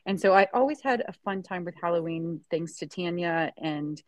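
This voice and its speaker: A woman's voice: fairly low pitch, lively intonation, moderate pace, dry recording. A woman in her thirties co-hosting a podcast, chatting casually in an enthusiastic, rambling way. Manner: rambling, enthusiastic, many restarts. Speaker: podcast co-host. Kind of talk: casual chat.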